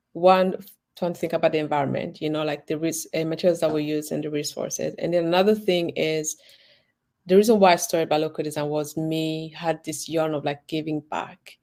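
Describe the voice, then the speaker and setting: A woman's voice: sits low, fairly even intonation, normal speed, a little mellow. A woman born in Uganda and raised in Sweden, chatting as a guest in an interview, talking in loose rambling sentences. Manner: rambling, many restarts and "like"s. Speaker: guest, designer and business owner. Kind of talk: casual interview chat.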